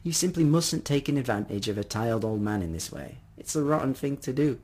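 A man's voice: mid-range voice, expressive, brisk, a little mellow, breathy edge. A man voicing an earnest child who pleads reproachfully with a parent in a story.

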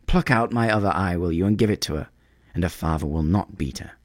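A man voicing a gentle, sorrowful prince statue in a storybook reading, speaking tenderly and pleadingly. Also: low voice, a little fast, no echo, studio quality.

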